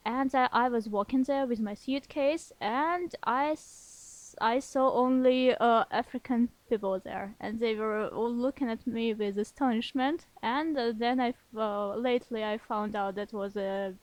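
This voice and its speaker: Higher-voiced woman guest, hesitant speech. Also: no echo, rough recording. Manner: hesitant, many pauses and restarts, laughs lightly. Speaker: podcast guest. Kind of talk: casual interview talk.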